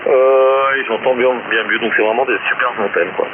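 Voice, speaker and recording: A man's voice: medium pitch, fairly even intonation, a little fast. A man chatting informally and self-deprecatingly as an amateur radio operator over a shortwave link. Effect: shortwave radio transmission. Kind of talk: casual chat over ham radio. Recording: narrow-band shortwave radio with clear static.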